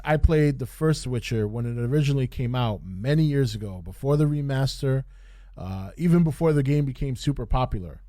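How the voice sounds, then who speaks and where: A man hosting a podcast, giving casual unscripted review commentary in a halting way, repeating phrases for emphasis. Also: mid-range voice, a little mellow, no room sound, clean studio sound. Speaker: podcast host reviewing TV shows.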